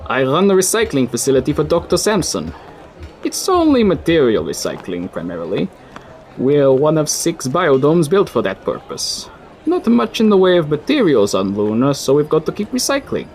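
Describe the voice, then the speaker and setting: An actor playing a courteous, apologetic city coordinator who turns quietly menacing, in an audio drama. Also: male voice, fairly high pitch, huge pitch swings, moderate pace, a little hiss or hum. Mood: friendly. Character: polite but sinister city coordinator man. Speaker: coordinator of a recycling facility.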